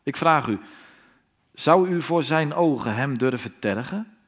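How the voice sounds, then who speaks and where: A man's voice: fairly high pitch, animated, measured pace, slightly rough. A man preaching a fiery sermon in a solemn, pleading tone, firing off rhetorical questions.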